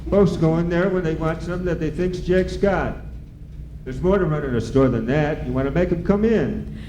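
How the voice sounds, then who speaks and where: A man's voice: on the high side, moderate pace, warm tone, natural voice. A man playing a kindly, curious old farmer with a rural American accent in an old-time radio serial. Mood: amused, advising. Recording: roomy ballroom, faint noise.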